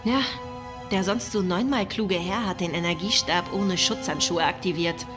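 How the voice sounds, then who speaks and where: A woman's voice: fairly low pitch, moderate pace, music in the background, close to an everyday voice. Bold, cutting female ship's officer played by an actress, sci-fi audio drama, under score. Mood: scornful. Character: bold, scornful female spaceship crew officer.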